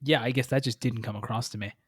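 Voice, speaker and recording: A man co-hosting a casual movie review podcast, relaxed and storytelling. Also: mid-range voice, quick pace, husky, dry recording, clean studio sound. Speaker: podcast co-host.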